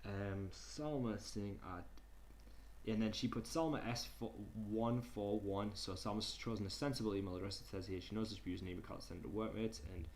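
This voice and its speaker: Male online tutor, lowish pitch, conversational and hesitant, reads then comments, noisy recording. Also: moderate pace, a little mellow. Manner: hesitant, conversational, repeats himself. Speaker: tutor presenting a digital skills session. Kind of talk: informal online lesson, reading slides aloud and commenting. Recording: clear background noise.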